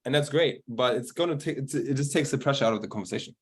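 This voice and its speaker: A man's voice: mid-range voice, quick pace, husky. A man hosting an online group coaching call, talking in a casual, upbeat way and hyping up his guests. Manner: upbeat, hyped-up introductions. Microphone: video call.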